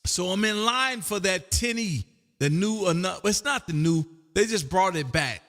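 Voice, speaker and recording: High voiced guy chatting on ham radio, folksy n excited, big hall reverb. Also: moderate pace, soft background music. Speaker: amateur radio livestream host and operator. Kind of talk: casual ham radio chat. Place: radio room at home, livestreamed.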